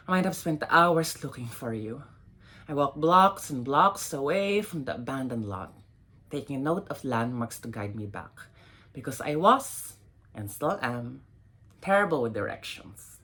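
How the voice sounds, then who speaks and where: Woman, poet at a literary reading: low register, some rasp, measured and expressive delivery. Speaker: poet reading own poems. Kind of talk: dramatic poetry reading.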